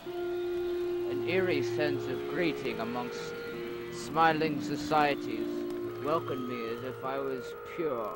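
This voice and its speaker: A man playing an awestruck soul journeying through the afterlife in an amateur audio drama, speaking in halting, portentous monologue. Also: fairly high pitch, very animated. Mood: solemn, poetic. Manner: halting, theatrical, portentous delivery. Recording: old cassette tape, loud music behind.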